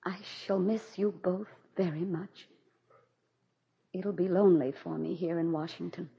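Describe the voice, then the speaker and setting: A muffled 1940s radio drama recording of a proud, embattled president's wife. Her voice is husky, with a formal and emphatic theatrical delivery that carries an anxious edge. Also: fairly low pitch, steady tone, measured pace, warm tone, heavily acted. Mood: sad, resigned.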